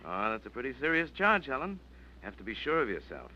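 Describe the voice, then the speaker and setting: An actor playing George, a calm, cautious man who reasons carefully, in a 1940s radio drama. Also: mid-range voice, a little hiss or hum. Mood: measured. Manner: measured and reasoning. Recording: dull vintage radio recording.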